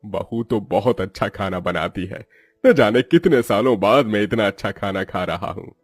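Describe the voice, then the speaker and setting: Man as a low-voiced firm cartoon father-in-law. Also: normal speed, slightly rough, no room sound. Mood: appreciative. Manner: firm, reproving. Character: stern but fair middle-aged father-in-law, protective patriarch. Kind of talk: Hindi cartoon moral-story voice acting.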